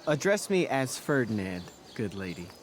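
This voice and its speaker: A man's voice: mid-range voice, very animated, moderate pace, a little hiss or hum, natural voice. A man acting a theatrical teenage boy who plays at being a courtly prince, in a video game. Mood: mock-courtly. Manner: grandiose, swooping between slang and mock-courtly.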